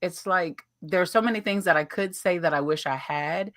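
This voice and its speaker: Low, bright-voiced American woman storyteller. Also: normal speed, no echo, studio quality. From United States. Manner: animated, laughing, playful asides. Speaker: guest. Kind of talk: casual interview storytelling.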